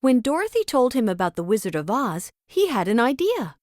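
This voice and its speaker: Lady reading a kids book aloud, highish and super expressive, proper storyteller style. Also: fairly quick, no echo, studio quality. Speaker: storybook narrator. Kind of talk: read-aloud scripted children's narration.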